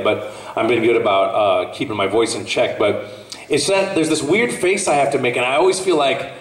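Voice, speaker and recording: A man with a lyric baritone voice, a voice actor joking and rambling in casual chat on a convention panel. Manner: jokey, laughing, rambling. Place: large convention hall. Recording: echoey hall from far off.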